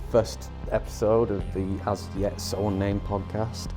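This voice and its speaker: Male podcast co-host, deep and congested, rambling casual chat. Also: little pitch movement, unhurried, over music. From England. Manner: rambling, chatty, many ums. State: nasal from a head cold.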